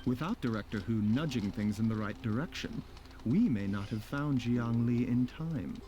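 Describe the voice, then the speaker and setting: An actor playing a calm, scholarly male doctor in a video game, speaking in measured, formal, articulate phrases with a gently enigmatic air. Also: mid-range voice, faint music behind, close to an everyday voice. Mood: composed. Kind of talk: scripted video game dialogue.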